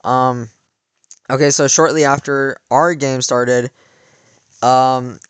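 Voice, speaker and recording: A young man in his late teens rambles through a story, leaning on "like" and restarting his sentences. His voice sounds slightly worn, and his pitch sits at an average level. The recording is dry and close, with no room sound. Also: steady tone, slow, smooth, no echo. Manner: rambling, many "like" fillers and restarts. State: voice worn from cheering. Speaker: vlogger. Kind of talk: casual storytelling.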